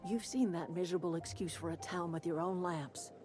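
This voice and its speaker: Woman playing a low-voiced, folksy elderly woman leader. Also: steady tone, natural voice. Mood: bitter, defiant. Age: older. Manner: folksy, unhurried, plain-spoken. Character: proud elderly woman, motherly yet defiant camp leader. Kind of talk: video game character dialogue. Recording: music behind the voice.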